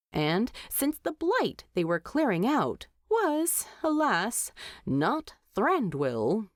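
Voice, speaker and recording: A woman narrates fiction in an unhurried, measured way. Her pitch moves freely and animatedly, with deliberate emphasis on key words. The sound is dry and close, free of room reflections. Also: darkish tone, no room sound. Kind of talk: scripted storytelling narration.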